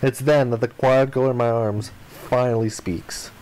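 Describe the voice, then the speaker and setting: A man narrates the text of a visual novel for a playthrough. His voice sits at an average pitch. He reads the story aloud in a dramatic, first-person storytelling style. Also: steady tone, measured pace, a little mellow, rough recording. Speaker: let's player reading a visual novel aloud.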